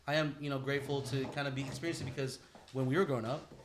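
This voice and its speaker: A man's voice: medium pitch, level tone, very fast, noisy background. A man hosting a podcast, talking casually in slangy, informal conversation with friends. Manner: slangy and informal, says bro and dude. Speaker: podcast host.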